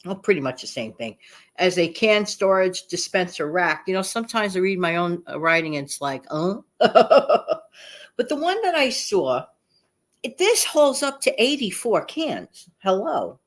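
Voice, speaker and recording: A woman's voice: medium pitch, sing-song, unhurried. A woman with a slightly nasal voice hosting a casual, chatty vlog, speaking emphatically and repeating phrases for effect. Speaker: channel host.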